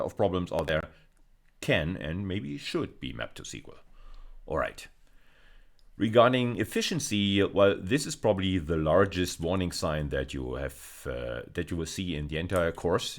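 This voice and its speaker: A man lecturing in an explanatory style, pausing and repeating words as he goes, with emphatic stresses. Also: deep voice. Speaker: university lecturer.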